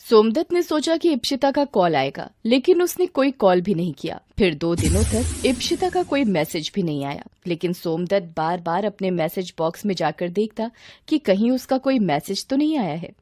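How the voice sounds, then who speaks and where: A woman reads a scripted story as narrator. Her intonation is animated and rises and falls widely. The recording has clear background noise. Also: on the low side.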